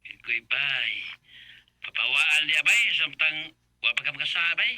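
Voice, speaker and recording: An actor playing an excitable, nagging best buddy in a radio drama, colloquial and urgent, with a Cebuano Filipino accent. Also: male voice, a little high, unhurried, no room sound, low-quality recording. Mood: teasing, scolding.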